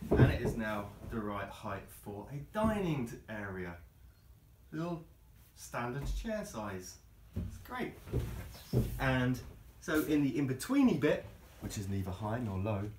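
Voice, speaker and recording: A man gives an informal tour in a fairly deep voice. He chats wryly, pausing to find his words. The sound is roomy and reverberant, picked up inside a boat with slight noise behind him. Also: a little mellow. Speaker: boat owner and builder giving a tour. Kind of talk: informal impromptu guided tour. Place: large cluttered covered deck space inside a boat. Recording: echoey boat interior with background noise.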